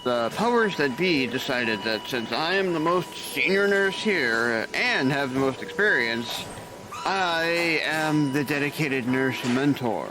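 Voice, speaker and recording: A man's voice: on the high side, animated, slow pace, background noise. A man playing a burned-out senior hospital nurse who mentors new staff with sarcastic grumbling. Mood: weary sarcastic. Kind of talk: audio drama acting.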